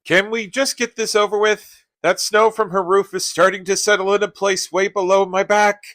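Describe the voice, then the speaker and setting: An actor in a comic radio play playing a reluctant young sidekick who complains in a whiny, sarcastic, exasperated way. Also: male voice, sits high, level tone, normal speed, fairly bright tone, dry recording, studio quality.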